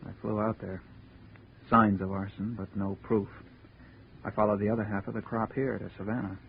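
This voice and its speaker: Low-voiced wary undercover investigator, tinny radio. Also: male voice, level tone, gravelly. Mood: guarded, confiding. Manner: confiding, slangy, brisk. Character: seasoned, wary male undercover marine investigator. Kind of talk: 1950s radio drama acting. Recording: tinny, noisy old radio recording.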